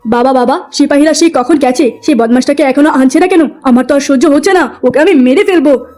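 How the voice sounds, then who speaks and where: Woman voicing an arrogant high-pitched young landlord's son. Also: brisk, dark tone, soft background music, natural voice. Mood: impatient, vengeful. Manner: scolding, contemptuous. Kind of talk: cartoon moral story acting.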